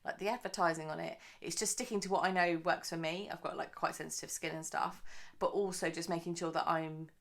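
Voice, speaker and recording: A woman hosting her own budgeting vlog, a little croaky from the early morning, chatting in a rambling way with lots of fillers. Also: fairly low pitch, steady tone, a little fast, few pauses. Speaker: budgeting channel host.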